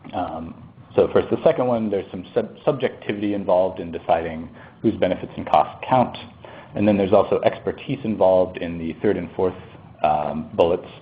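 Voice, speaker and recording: A man presenting a technical webinar, recovering from a cold and a bit sniffly, explaining with fillers and restarts. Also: low-pitched. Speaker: presenter, economist. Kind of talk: technical lecture. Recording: tinny phone line with faint noise.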